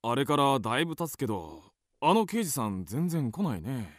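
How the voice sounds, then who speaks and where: A man's voice: mid-range voice, big pitch swings, normal speed, no echo, studio quality, lightly acted. An actor playing an easygoing husband and father in a radio drama, with a light-hearted manner. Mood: reassuring. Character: easygoing, joking family man, husband and father.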